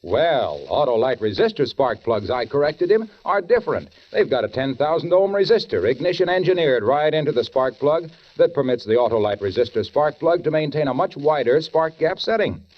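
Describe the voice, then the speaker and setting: A man's voice: a little high, big pitch swings, moderate pace, few pauses. A man doing folksy, theatrical commercial patter as a 1940s radio announcer. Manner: folksy, theatrical salesman delivery. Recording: muffled 1940s radio recording.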